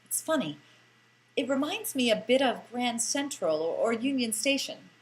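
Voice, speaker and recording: A woman's voice: on the high side, animated, normal speed. A woman narrating a first-person story like an audiobook, with a theatrical, lilting delivery and lingering phrases.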